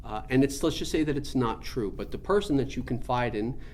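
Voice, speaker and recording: A man's voice: medium pitch, fairly quick, a little mellow, slight background noise. A man giving an informal spiritual teaching talk, explaining things in an earnest, emphatic way.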